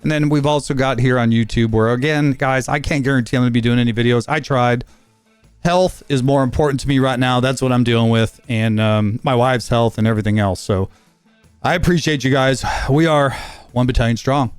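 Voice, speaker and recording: Man in his fifties, gaming vlogger, fast rambling talk, mid pitch, faint music behind. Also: steady tone, clear, resonant tone, no echo. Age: 50s. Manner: rambling, fast-talking, frequent fillers and restarts. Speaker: gaming channel host. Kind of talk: casual vlog update.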